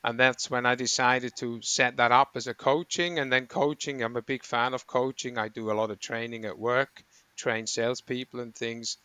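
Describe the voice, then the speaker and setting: A middle-aged man from Switzerland talks through his work as a running coach in a relaxed interview. His voice is bright and sharply clear, recorded dry and close. He wanders and corrects himself mid-sentence as he explains. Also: mid-range voice, moderate pace, dry recording. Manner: rambling, self-correcting explanations with frequent ums. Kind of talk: conversational interview.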